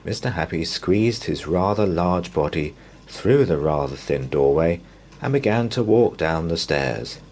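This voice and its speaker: A man reading a bedtime story aloud to young children as a storyteller, with measured, drawn-out, expressive phrasing. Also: sits low, slight background noise.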